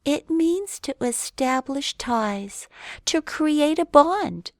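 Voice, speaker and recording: A coy, gentle female fox from a children's storybook, played by a woman reader. Her voice sits high and lilts slowly and deliberately, in a very dry recording. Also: animated, dry recording, heavily acted.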